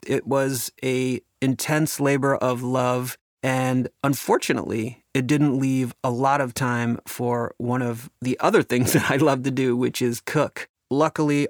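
A man's voice: mid-range voice, dry recording, clean studio sound. A man, the creator of a podcast, giving a conversational scripted sponsor read.

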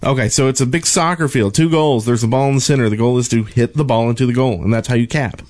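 A man's voice: medium pitch, quick pace, darkish tone. Casual man chatting about games. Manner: joking, informal, rambling. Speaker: podcast co-host. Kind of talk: casual gaming chat.

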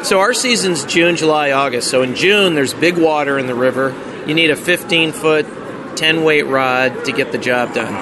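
An American man working in marketing for a fishing lodge, chatting casually in an interview, relaxed, with lots of ums and dry humor. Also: fairly high pitch, moderate pace. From United States. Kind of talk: casual interview at an outdoor event. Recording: noisy outdoor street recording.

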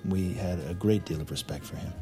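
Actor playing a low-voiced, condescending finance boss. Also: male voice, a little fast, mellow, faint music behind, natural voice. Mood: courteous. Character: smooth, pressuring male senior finance boss. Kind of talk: naturalistic screen drama acting.

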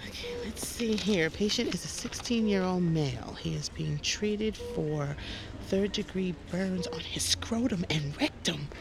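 A woman's voice: lowish voice, unhurried, creaky, noisy background. An actress playing a blunt, sassy woman who works at a hospital, in casual audio drama dialogue. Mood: incredulous. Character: blunt, sassy female hospital colleague, crude-humoured.

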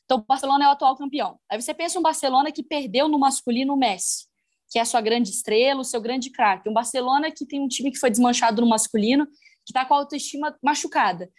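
A woman's voice: high voice, quick pace, darkish tone. A woman from Brazil, a guest on a podcast, telling a story in casual chat with many fillers.

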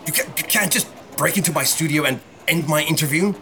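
A man's voice: on the high side, a little fast, noisy background, lightly acted. An actor playing a brash, self-important male talk show host and journalist, theatrical and insistent, in an audio drama. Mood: indignant. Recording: loud music underneath.

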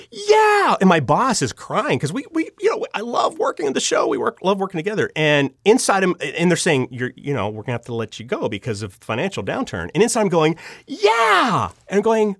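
A man telling personal stories as an interview guest in an animated, conversational way, acting out his thoughts. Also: medium pitch, no echo, studio quality. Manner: animated, acts out inner thoughts and dialogue. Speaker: interview guest, audio drama writer.

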